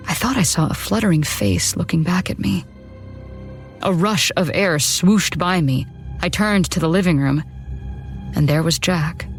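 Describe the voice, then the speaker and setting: Woman narrating horror with music behind. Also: lowish voice. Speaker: story narrator. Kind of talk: dramatic first-person horror story narration. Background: background music.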